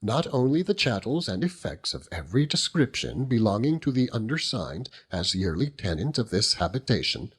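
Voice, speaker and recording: A man voicing a pompous, theatrically gloomy middle-aged gentleman in debt, in florid phrases, for an audiobook. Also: fairly low pitch, sing-song, normal speed, few pauses, slightly rough. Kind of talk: audiobook character reading.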